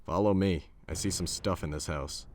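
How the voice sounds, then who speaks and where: A man voicing Clay, a gruff young fighter who talks in a blunt, bossy, scolding way. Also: deep voice, normal speed, slight background noise, natural voice.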